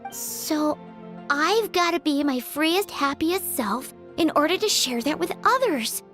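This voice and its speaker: A woman's voice: high-pitched, animated, slow, fairly bright tone, exaggerated voice. A woman voicing a shy, earnest young aspiring bard in a video game, hesitant and apologetic in the way she talks. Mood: encouraged, grateful. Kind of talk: video game character acting. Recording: music behind the voice.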